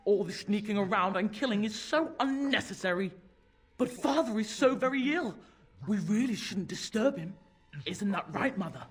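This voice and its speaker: An actor playing an imperious, spoiled demon speaking through a young boy in a video game, husky and menacing. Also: mid-range voice, expressive. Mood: mock civil. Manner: taunting, commanding, slow drawn-out menace.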